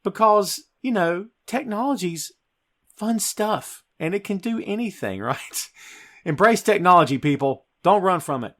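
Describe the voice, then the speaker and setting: A man hosting a film review podcast, talking to listeners in an emphatic, excitable way. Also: sits high, unhurried. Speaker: podcast host.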